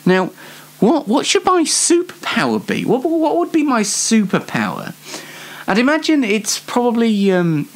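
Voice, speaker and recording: A man's voice: extremely high pitch, huge pitch swings, unhurried. A man hosting a solo show in a casual comic monologue, rambling hesitantly and poking fun at himself. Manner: hesitant, rambling, self-deprecating. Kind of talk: casual comedic monologue to audience.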